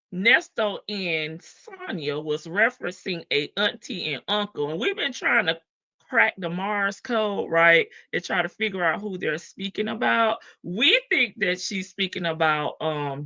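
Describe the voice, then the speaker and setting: Female livestream host, unhurried, playful gossip delivery, frequent laughs. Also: medium pitch, sharp tone, dull audio. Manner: chatty and playful, laughs often, greets viewers by name. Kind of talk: casual gossip commentary on a court case.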